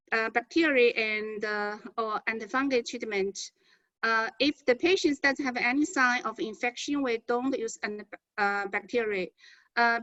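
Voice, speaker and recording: A woman physician from China with a Chinese accent, speaking halting non-native English with repeated words as she presents to colleagues on a medical conference call. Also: a little high, fairly bright tone, dry recording. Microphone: conference call connection. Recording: dull, band-limited conference call audio.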